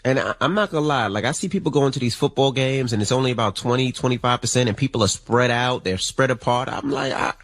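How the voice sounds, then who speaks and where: A man co-hosting a morning radio show, talking casually with slang in an animated way. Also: medium pitch, fast, hardly any pauses, no echo, soft background music. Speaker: radio co-host.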